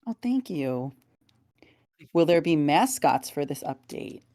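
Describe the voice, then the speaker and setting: A woman co-hosting a casual developer Q&A on a livestream, soft-spoken, relaxed and a little self-deprecating. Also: sits low, slow, no room sound, studio quality. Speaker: game developer co-host.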